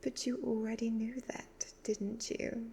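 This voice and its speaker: A woman playing a sly, commanding evil witch fortune teller in a soft-spoken ASMR roleplay, half-whispering slow, measured instructions. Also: on the high side, little pitch movement, creaky, slight background noise. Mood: sly, teasing.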